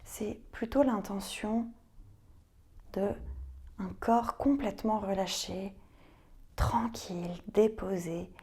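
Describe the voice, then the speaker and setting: A woman yoga teacher, a native French speaker, leading guided relaxation in a soft, calm, breathy voice that often sinks close to a whisper. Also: mid-range voice. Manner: slow, calm, gentle. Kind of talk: guided relaxation and meditative reading.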